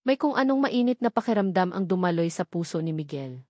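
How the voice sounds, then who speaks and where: A woman's voice: fairly low pitch, sing-song, brisk, a little mellow, dry recording. Woman narrating drama, dry close sound. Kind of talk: scripted dramatic story narration.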